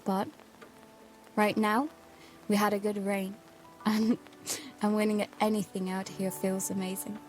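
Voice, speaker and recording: A woman's voice: mid-range voice, level tone, measured pace, long pauses, a little mellow, soft background music, lightly acted, poor audio. A young woman playing an earnest, restless daughter narrating life aboard a boat in an intimate, confiding audio drama style. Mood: reflective, confiding.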